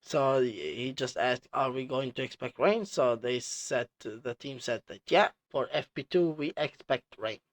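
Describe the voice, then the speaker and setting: A man who is a non-native English speaker hosting casual commentary, explaining things in a halting, repetitive way. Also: mid-range voice, expressive. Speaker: host reviewing team radio clips.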